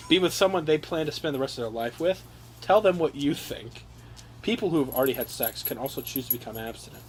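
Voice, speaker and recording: Higher-voiced young man, joking over background noise. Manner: mock-earnest reading, sweary, jokey. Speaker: reader among his brothers. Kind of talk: joking read-aloud with casual asides. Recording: noisy amateur home recording.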